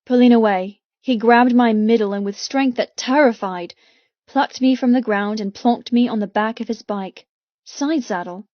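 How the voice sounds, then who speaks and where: A woman's voice: a little high, moderate pace, no echo, dull audio. A woman reading scripted, dramatic first-person narration as an audiobook narrator.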